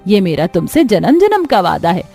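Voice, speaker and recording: Woman voicing a gentle, reassuring mother-in-law. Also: medium pitch, sing-song, normal speed, vocal fry, breathy edge, faint music behind, exaggerated voice. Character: kind, patient, reassuring middle-aged mother-in-law. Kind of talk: cartoon story dialogue.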